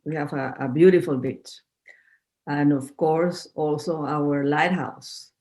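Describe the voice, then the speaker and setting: A woman's voice: low-pitched, steady tone, slow, darkish tone. A woman in her fifties from Venezuela, a native Spanish speaker, answering interview questions in a podcast in an earnest, slightly formal way. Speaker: guest.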